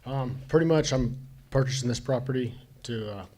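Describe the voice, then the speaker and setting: A man's voice: medium pitch, normal speed, mellow. A young man speaking plainly and casually as an applicant at a public hearing. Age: young adult. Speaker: applicant buying land.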